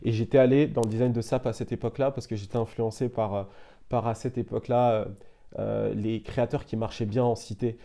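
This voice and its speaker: A young man from France chatting casually as an interview guest, relaxed and meandering, full of fillers and "you know.". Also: mid-range voice, fairly quick, creaky. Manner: relaxed, meandering, frequent "euh" and "tu vois". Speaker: interview guest, media founder.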